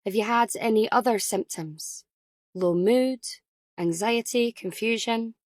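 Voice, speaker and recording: Audiobook role: woman as no-nonsense female nurse, crisp, pleasantly firm, professionally soothing. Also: on the high side, measured pace, dry recording, studio quality, lightly acted. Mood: softened, soothing.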